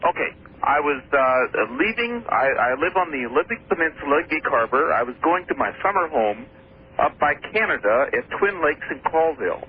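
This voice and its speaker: A man's voice: a little high, big pitch swings, normal speed. A man from Washington State calling into a radio show, hesitant and repeating his words. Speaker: caller. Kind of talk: radio call-in story. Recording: tinny phone line with faint noise.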